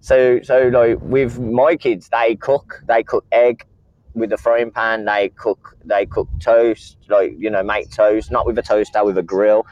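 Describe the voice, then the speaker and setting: A man's voice: fairly low pitch, flat delivery, a little slow, a little mellow, no echo. Rambling man on a dull line. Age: 30s. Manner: rambling, repeats words, many fillers. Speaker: caller. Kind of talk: casual call-in chat.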